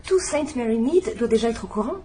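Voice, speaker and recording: Fussy, gossipy carer, patronizing tone, raised voice; actress plays it, faint hiss. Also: female voice, a little high, animated, brisk, mellow, natural voice. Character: fussy, condescending live-in female companion. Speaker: companion and housekeeper. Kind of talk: dubbed drama acting.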